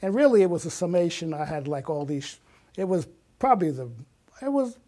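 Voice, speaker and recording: A man in his sixties with a fairly high voice speaks as an interview guest. He reminisces conversationally, telling his story in an animated way and slipping into remembered dialogue. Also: a little fast. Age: 60s.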